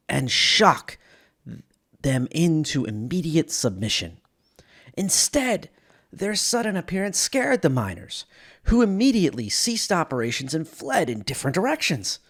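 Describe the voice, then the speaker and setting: A man's voice: fairly high pitch, a bit bright. Man narrating with theatrical, swooping emphasis. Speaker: channel host and narrator. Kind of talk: dramatic reading of scripted lore narration.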